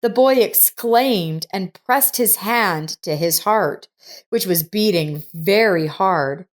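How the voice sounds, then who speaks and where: Slow, measured woman storyteller. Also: mid-range voice. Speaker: narrator. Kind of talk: scripted story narration.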